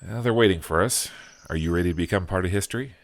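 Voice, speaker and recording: A man's voice: low voice, quick pace. A man playing a devoted, anxious husband who narrates his own story in an earnest audio drama style. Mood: tender, encouraging. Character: devoted husband of an ailing wife, anxious, first-person narrator. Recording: clearly noisy audio drama track.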